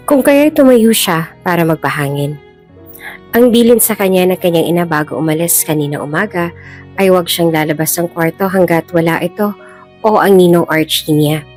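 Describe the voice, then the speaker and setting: A woman's voice: lowish voice, a little mellow. A woman with a Filipino accent reading a scripted dramatic story as a storyteller. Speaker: storyteller, channel host. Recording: music bed behind the voice.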